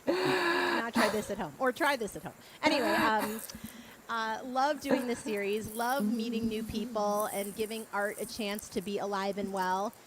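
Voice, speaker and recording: A woman with a fairly high voice hosts a casual show. She chats in an informal, effusive way with a playful streak. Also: normal speed, heavy background noise. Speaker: host. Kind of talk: casual chat.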